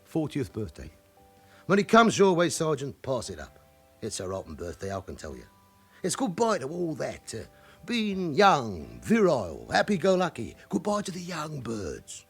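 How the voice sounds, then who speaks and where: An actor playing an evasive, chatty forty-year-old London club owner with an underworld past in a radio drama. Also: male voice, fairly high pitch, wildly swooping pitch, normal speed, gravelly, slightly rough, soft background music, full character voice. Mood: wistful.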